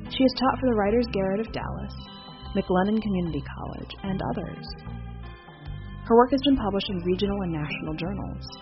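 A woman reading a scripted podcast introduction as its host. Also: medium pitch. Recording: dull lo-fi sound with guitar music. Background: plucked guitar music.

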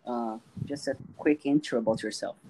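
Male interviewer, mid-pitched voice, encouraging, stumbles into his questions. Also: normal speed, warm tone, poor audio. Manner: friendly, encouraging, repeats words as he starts questions. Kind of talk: casual interview.

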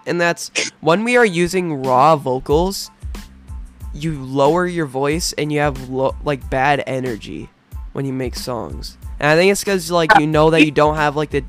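A man's voice: fairly high pitch, unhurried, faint music behind. Earnest teen boy giving blunt feedback. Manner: earnest, insistent, repeats himself. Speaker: friend giving music feedback. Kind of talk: casual chat.

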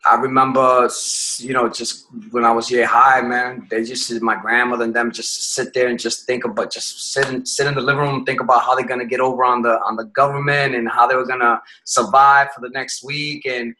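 A man from Puerto Rico, a podcast guest telling his life story in a reflective way, often pausing and restarting his phrases. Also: medium pitch, level tone, sharp tone, rough recording.

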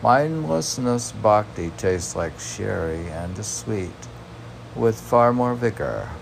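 A man reading poetry informally, halting and musing, talking back to himself in a gravelly voice. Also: fairly low pitch, expressive, poor audio. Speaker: poet reading own work and commenting. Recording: noticeable background noise.

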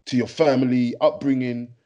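A man's voice: mid-range voice, fairly even intonation, normal speed, warm tone, dull audio. A man hosting a podcast interview, chatty and friendly, calling his guest "man" and rambling through his questions. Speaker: podcast host. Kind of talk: casual interview.

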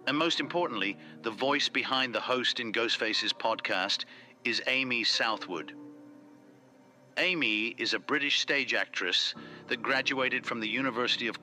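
A man's voice: mid-range voice, sharp tone. A man reading a scripted introduction as a podcast presenter.

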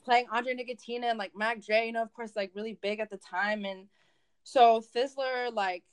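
A woman's voice: fairly high pitch, steady tone, moderate pace, smooth. A young woman whose first language is Spanish, chatting in a casual interview and rambling along with lots of fillers and restarts. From United States. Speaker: guest, event organizer.

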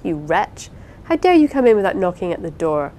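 A woman's voice: lively intonation, unhurried, a little mellow, lightly acted. Woman playing a fiery, imperious young Victorian wife. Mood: indignant, furious. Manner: imperious, effusive, emphatic. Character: Rosamond, vain, fond, fiery-tempered young wife. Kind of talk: dramatised audiobook reading of a Victorian novel. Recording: clear low rumbling background noise.

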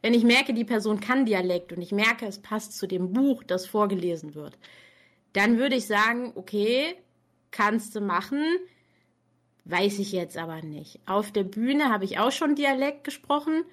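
A young woman talking freely on a casual livestream, chatty and full of easy laughter. She pokes fun at herself as she tells her stories, with a voice sitting at an ordinary middle pitch for a woman. She speaks as an author and reading coach talking from a warm room at home. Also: lively intonation, measured pace. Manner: chatty, laughing often, self-mocking.

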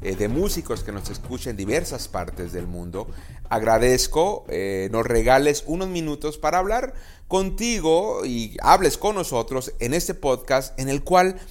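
Formal man hosting, lively pitch. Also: normal speed. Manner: formal, read-out delivery. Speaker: podcast host. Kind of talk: scripted introduction. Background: music.

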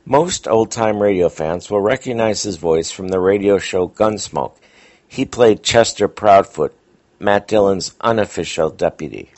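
A man's voice: fairly low pitch, unhurried, a little mellow. A man hosting and introducing old radio episodes in scripted narration, on a dull recording. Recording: dull, lo-fi sound.

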